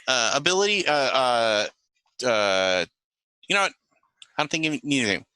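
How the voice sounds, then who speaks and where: A man running a tabletop role-playing game, improvising narration and haltingly thinking aloud as he goes. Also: medium pitch, sing-song, sharp tone, clear, resonant tone, no room sound. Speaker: game master.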